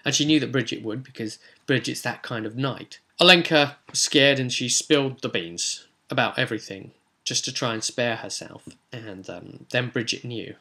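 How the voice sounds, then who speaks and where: A man's voice: medium pitch, normal speed, bright, forceful tone. A man, a game master, casually recapping a tabletop role-play session and quoting the characters in his own voice, with many fillers.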